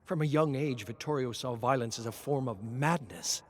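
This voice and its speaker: A man narrating a scripted tale in a dramatic, brooding, theatrical way, often dropping into hushed tones. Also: mid-range voice, moderate pace.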